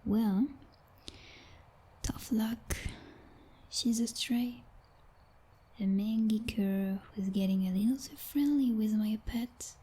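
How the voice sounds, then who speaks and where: A woman's voice: fairly high pitch, slow pace, long pauses, dark tone, slightly rough, exaggerated voice. A woman playing a jealous, possessive vampire girlfriend in an ASMR roleplay, whispering breathily in a teasing, commanding and condescending way. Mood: jealous, scornful.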